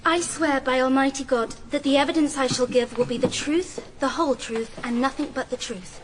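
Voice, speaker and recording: A woman's voice: high-pitched, fairly even intonation, normal speed, close to an everyday voice. An actress playing a sensible seventeen-year-old schoolgirl witness in a radio drama, composed and matter-of-fact. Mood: formal, calm. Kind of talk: radio courtroom drama acting. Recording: noticeable background noise and ambience.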